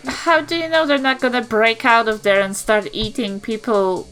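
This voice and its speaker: A high-pitched woman with a New Zealand accent talks casually as a player in a roleplaying game. She sounds questioning and sceptical, with music underneath her voice. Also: fairly even intonation, normal speed, a bit bright. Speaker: player in a tabletop roleplaying podcast. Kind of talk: casual improvised game chat.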